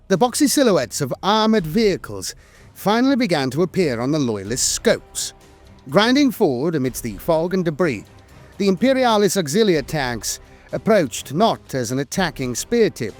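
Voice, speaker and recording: Higher-pitched man narrating lore, lively intonation. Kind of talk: scripted documentary-style lore narration. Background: music.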